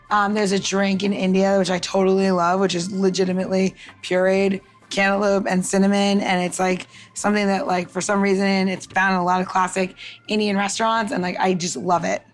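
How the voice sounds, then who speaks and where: A woman's voice: medium pitch, flat delivery, a little fast. A woman co-hosting a podcast, giving kitchen tips in a chatty, informal way with casual banter.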